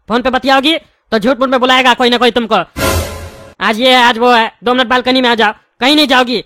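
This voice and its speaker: Shrill, fast-talking cartoon politician. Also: male voice, sharp tone. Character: blustering male cartoon politician, strict overprotective father. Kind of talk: comic cartoon dialogue.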